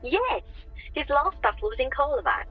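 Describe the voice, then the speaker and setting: A woman playing an eager, knowledgeable researcher in an audio drama, heard husky and earnest through a telephone speaker. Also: sits high, moderate pace, faint music behind. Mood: excited. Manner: excitable, earnest. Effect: telephone speaker filter. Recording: dull, dry phone-speaker sound.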